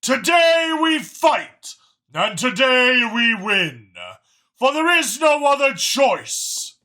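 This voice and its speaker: A man's voice: sing-song, slow pace, sharp tone, exaggerated voice. A man voicing a noble alien war commander in a sci-fi audiobook, delivering solemn, rousing oratory. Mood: impassioned, rallying. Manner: solemn, impassioned, booming oratory. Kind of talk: dramatised science fiction audiobook.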